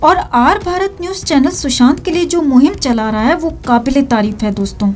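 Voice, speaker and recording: A woman's voice: sits high, moderate pace. A woman with an Indian accent reading scripted news to viewers in an emphatic, sensational manner. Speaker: video news narrator.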